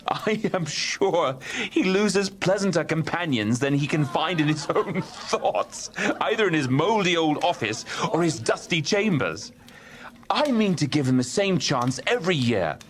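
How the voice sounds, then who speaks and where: A man's voice: on the high side, sing-song, a little slow. A man playing a jovial, good-hearted young Victorian nephew with a hearty, chuckling manner in an audiobook drama. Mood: warm, merry.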